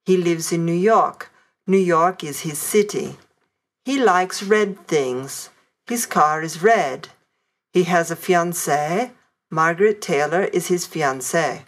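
Brief: woman course reader, low pitch, slow measured sentences. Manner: slow, even example sentences. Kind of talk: scripted language lesson reading.

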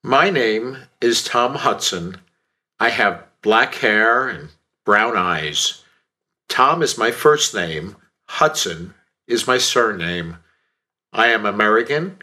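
Brief: male reader as a young American man, bright tone, slow deliberate delivery. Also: on the low side, lively intonation, exaggerated voice. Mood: plain. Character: young American man reading a self-introduction. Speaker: course audio reader. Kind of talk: slow scripted language-course reading.

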